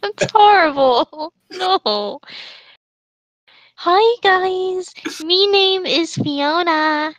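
A woman's voice: very high-pitched, expressive, very slow, a bit bright, dry recording. A woman reading fan fiction aloud and commenting on it casually. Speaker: reader and commentator.

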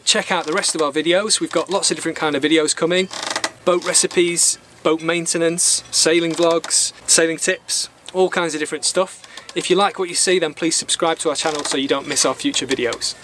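A man's voice: fairly high pitch, fairly even intonation, a little fast, fairly bright tone. A man in his thirties hosting an instructional sailing vlog, explaining things plainly to camera. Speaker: sailing channel host. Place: outdoors on a small boat in a marina. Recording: outdoor marina background noise.

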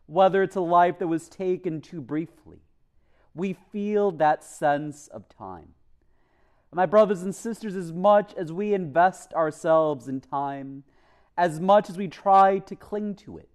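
Higher-voiced male priest preaching earnestly. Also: a little mellow, smooth. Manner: deliberate, earnest, with pauses for emphasis. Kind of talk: homily, measured preaching. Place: church chapel, at a lectern. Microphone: lectern microphone.

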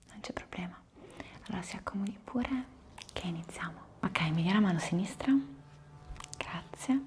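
A young woman in an ASMR roleplay as a palm reflexology therapist, whispering breathily with polite, soothing, unhurried instructions. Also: fairly low pitch, a little mellow, a little hiss or hum. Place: small indoor room at a table. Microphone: lavalier microphones close by.

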